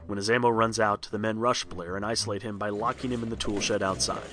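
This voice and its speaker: A man's voice: lowish voice, fairly even intonation, fast, music in the background. A man reading a scripted storybook narration for a read-along record.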